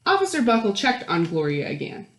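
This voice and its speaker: Woman, children's storytime reader, lively pitch swings, explanatory asides, questions to young listeners, roomy echo. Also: fairly quick. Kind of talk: expressive picture-book read-aloud with chatty asides.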